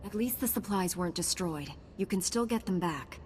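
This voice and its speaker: A woman's voice: medium pitch, lively intonation, moderate pace, a little mellow, big-room sound, slight background noise, lightly acted. A woman voicing a dutiful, earnest young knight hero in a video game, speaking formally and with composure. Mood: composed, helpful. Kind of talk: video game dialogue acting.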